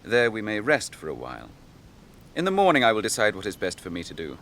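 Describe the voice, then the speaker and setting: An actor playing a grave, noble young captain grieving his brother, in measured, archaic speech for a fantasy radio drama. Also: fairly low pitch, wildly swooping pitch, fairly bright tone, slight background noise, heavily acted. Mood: grave, probing.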